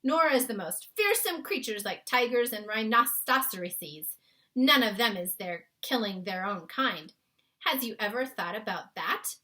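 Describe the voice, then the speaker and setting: A woman's voice: on the high side, animated, a little slow, bright, forceful tone. Woman playing an emphatic, folksy storybook giant, the BFG. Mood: indignant. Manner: emphatic, mangled homespun grammar. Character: big friendly male giant, earnest, folksy, muddled talker. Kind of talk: children's storybook read-aloud.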